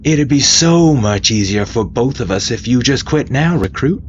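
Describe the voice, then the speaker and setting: A man voicing a gruff, bullying military drill sergeant who barks sardonic taunts in an audiobook. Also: mid-range voice, lively intonation, normal speed, dull audio, a little hiss or hum, pitched above the performer's own voice, lightly acted. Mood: mocking. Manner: loud, barking, sardonic. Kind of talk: dramatised audiobook character voice.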